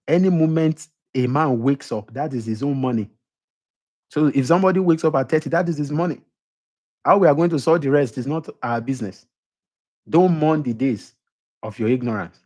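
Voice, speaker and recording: A man's voice: mid-range voice, darkish tone. A middle-aged man with a Nigerian accent giving an emphatic motivational lecture, repeating his points and putting questions to the audience. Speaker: motivational speaker.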